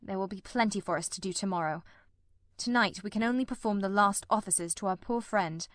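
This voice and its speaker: A performer voicing a shrewd, grimly determined male detective in a radio mystery, speaking formally and deliberately. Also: high voice, a bit bright, no echo, clean studio sound. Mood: grimly resolute. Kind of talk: old-time radio mystery drama.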